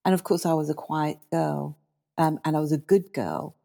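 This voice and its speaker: A middle-aged woman from South Africa, an Afrikaans native speaker, recalls her schooling as an interview guest. Her voice sits low and carries a gravelly fry, and the tone is dark and mellow. She talks in a thoughtful, measured way, pausing on fillers as she gathers her thoughts. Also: steady tone. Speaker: guest, former school leader. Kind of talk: reflective interview storytelling.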